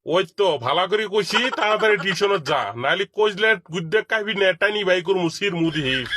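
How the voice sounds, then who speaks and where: Man voicing strict cartoon dad, high, fast-talking, threatening, clear background noise. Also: lightly acted. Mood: scolding. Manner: scolding, hectoring. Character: scolding, threatening village father and strict tutor. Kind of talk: comedy cartoon dialogue.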